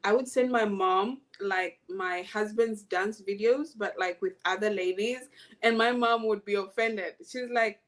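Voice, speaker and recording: A woman from Zambia, a guest in a casual interview, talking in a relaxed, reflective way and repeating words as she thinks. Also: medium pitch, measured pace.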